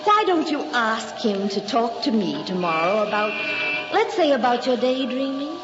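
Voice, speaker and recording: A woman's voice: a little high, sing-song, moderate pace. A woman playing a genteel, coy schoolteacher who gushes politely, in old-time radio drama style. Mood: admiring, coy. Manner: refined, gushing, coy. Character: genteel, admiring lady schoolteacher. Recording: dull, echoey vintage radio recording with music.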